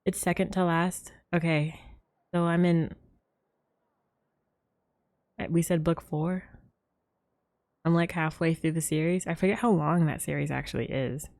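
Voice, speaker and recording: A woman's voice: sits low, fairly even intonation, measured pace, gravelly, long pauses, darkish tone. A woman livestreaming a game and chatting casually with viewers in a rambling, giggly way. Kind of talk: casual chat with stream viewers. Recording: game music behind the voice.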